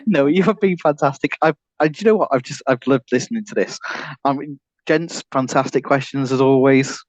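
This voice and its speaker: A man's voice: a little high, expressive, unhurried, dry recording, lo-fi sound. Jovial male host, dry close sound. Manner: jovial, effusive. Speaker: podcast host. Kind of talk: casual sign-off chat.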